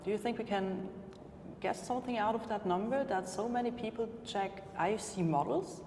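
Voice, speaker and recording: A woman's voice: mid-range voice, moderate pace. A woman from Austria interviewing a guest, hesitant, with many fillers. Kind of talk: conference interview. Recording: roomy hall with ambient noise.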